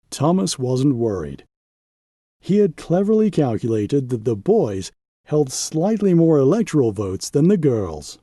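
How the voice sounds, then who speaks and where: A man reading a children's picture book aloud as an expressive storyteller, with measured, dramatic phrasing and pauses for emphasis. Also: a little high, breathy edge, no room sound, clean studio sound.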